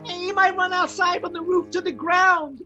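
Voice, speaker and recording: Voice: very high-pitched, fairly even intonation, normal speed, pushed and bright, muffled recording, soft background music, full character voice. An actor playing a frightened, flustered working-class night watchman who stammers, in old-time radio drama style. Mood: panicked. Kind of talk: 1940s radio detective drama acting.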